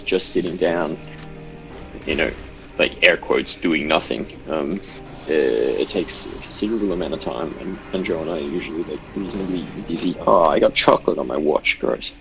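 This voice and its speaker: A man's voice: low voice, brisk, vocal fry, fairly bright tone, rough recording. A man hosting a live game stream over a voice call, reading chat questions in a chatty, rambling way. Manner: chatty, rambling, laughs easily. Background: game music.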